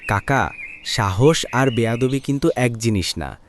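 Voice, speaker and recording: Male actor as preachy villager, medium pitch, emphatic, faint noise behind. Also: expressive, a little slow, close to an everyday voice. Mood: stern, earnest. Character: earnest, moralizing village man correcting his elder. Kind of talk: cartoon village drama dialogue. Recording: faint background noise with clicks.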